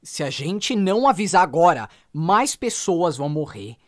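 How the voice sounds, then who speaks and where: A man's voice: fairly high pitch, big pitch swings, moderate pace, no echo, studio quality. An actor playing a shaken, insistent young male journalist in an audio drama, urgent and persuasive.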